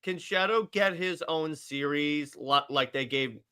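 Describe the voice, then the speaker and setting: A man's voice: a little high, unhurried, pushed and bright, smooth. A man co-hosting a casual fan podcast, speaking enthusiastically and agreeably, often repeating himself as he goes. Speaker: podcast co-host.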